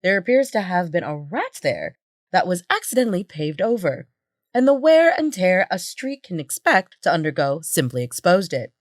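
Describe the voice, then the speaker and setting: A woman playing a lively morning news anchor in an audio drama parody broadcast. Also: fairly low pitch, normal speed, dry recording, studio quality. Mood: bright, newsy.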